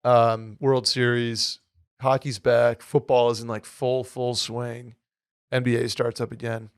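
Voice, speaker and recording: A man co-hosting a podcast in casual chat, with a hoarse, slightly nasal voice and a rambling, upbeat way of talking. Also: mid-range voice, level tone, a little slow, no room sound, clean studio sound. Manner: rambling, filler-heavy, upbeat. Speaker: podcast co-host.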